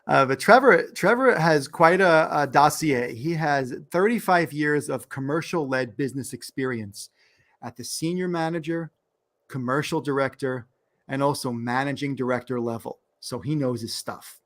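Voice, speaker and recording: A man's voice: medium pitch, moderate pace, a bit bright. A man with a New York accent hosting a podcast interview, upbeat and laughing often as he introduces his guest. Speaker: podcast host.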